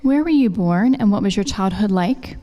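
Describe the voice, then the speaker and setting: A woman's voice: medium pitch, animated, normal speed, warm tone. A woman hosting a scripted interview show, asking polite, formal questions, recorded in an echoey hall. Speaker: show host.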